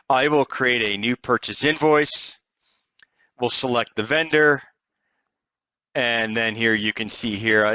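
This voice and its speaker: A man narrating a software tutorial step by step in an explanatory, conversational way. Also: medium pitch, smooth, no echo, lo-fi sound. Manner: explanatory, conversational walk-through. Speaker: software demonstrator.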